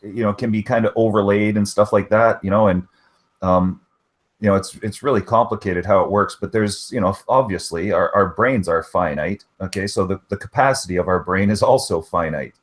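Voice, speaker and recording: A man's voice: lowish voice, normal speed. A man in a casual online chat who rambles, filling his talk with "you know" and "right". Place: online voice hangout.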